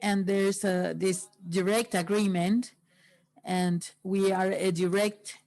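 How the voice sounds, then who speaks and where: A woman interpreting a workshop into English, pausing often between phrases. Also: mid-range voice, darkish tone, poor audio.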